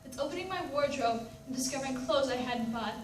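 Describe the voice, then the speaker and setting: Troubled, high-voiced woman, acted by a woman, echoey. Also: a little fast, natural voice. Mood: reflective. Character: troubled, reflective woman living with many personalities. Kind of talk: readers theatre monologue. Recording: echoey room, distant mic, background noise.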